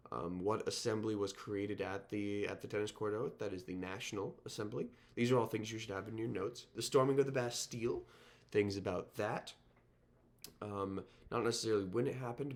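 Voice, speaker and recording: A lowish male voice, slightly nasal and strained from a sore throat. He lectures to students in a loose, informal way, with plenty of ums and restarts. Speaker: history teacher. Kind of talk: recorded online lecture.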